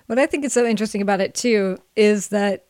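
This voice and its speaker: A woman's voice: mid-range voice, fast, a little mellow, no room sound. Woman podcast host, close dry sound. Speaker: podcast host interviewing a friend. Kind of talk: conversational podcast interview.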